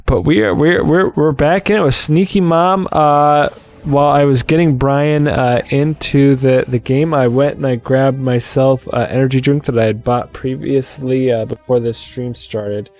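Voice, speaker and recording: A man's voice: mid-range voice, steady tone, normal speed, darkish tone. A man hosting a live-streamed game let's play, talking casually with lots of restarts and fillers.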